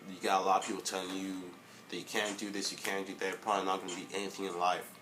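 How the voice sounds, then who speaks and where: Young male vlogger, low monotone voice, hesitant and filler-heavy, faint hiss behind. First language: English. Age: young adult. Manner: halting, many fillers and restarts. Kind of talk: casual motivational monologue.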